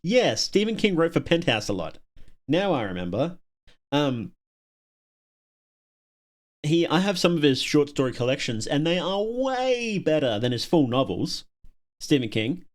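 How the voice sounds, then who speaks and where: A man's voice: on the high side, big pitch swings, measured pace, pauses often. A man chatting off the cuff as a live streamer, musing aloud and often trailing off as he rambles. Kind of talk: casual off-the-cuff livestream chat.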